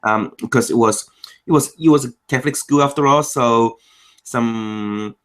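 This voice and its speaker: A man from Macau whose first language is Cantonese, answering interview questions in English in a casual chat, explaining in quick bursts and rattling off lists. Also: mid-range voice, fairly bright tone. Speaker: interview guest.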